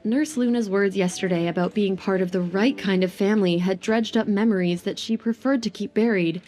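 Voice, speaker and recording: A woman's voice: medium pitch, a little fast, warm tone. A woman narrating a scripted romance audio drama.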